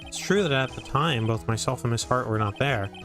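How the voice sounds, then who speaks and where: A man voicing an earnest, determined young defense lawyer in an amateur courtroom game fan dub, with a deliberate, accusing manner. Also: mid-range voice, music in the background, close to an everyday voice. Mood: methodical, pressing.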